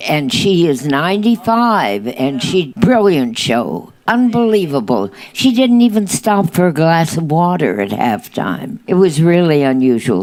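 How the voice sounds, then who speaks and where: A woman with a very deep voice asks a question from the audience. She is chatty and enthusiastic and goes off on asides. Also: darkish tone. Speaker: audience member asking a question.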